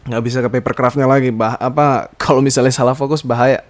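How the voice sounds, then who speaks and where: A man in his twenties with a medium-pitched voice talks alone as a podcast host. His delivery is informal and rambling. He often hesitates to find the right word and mixes in slang and bits of English. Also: fairly even intonation, fast talker. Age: 20s. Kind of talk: casual rambling solo podcast monologue.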